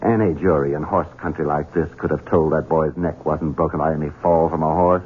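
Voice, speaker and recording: An actor playing a young, cruel, scheming heir who speaks in a cold, menacing, insinuating way, in a radio drama. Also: male voice, rumbling low, fairly even intonation, brisk, a little hiss or hum. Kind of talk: 1970s radio drama acting. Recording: tinny lo-fi radio broadcast.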